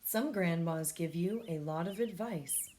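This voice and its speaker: Lowish-voiced woman reading a children's picture book. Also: unhurried, mellow. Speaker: storytime host reading aloud. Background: music.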